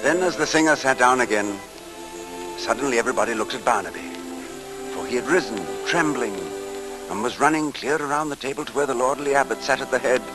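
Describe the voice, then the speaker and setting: A man narrating a scripted tale in classic radio style, theatrically moving between hushed and emphatic delivery, with music behind him. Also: medium pitch, a little fast, low-quality recording. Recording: old radio broadcast, music underneath.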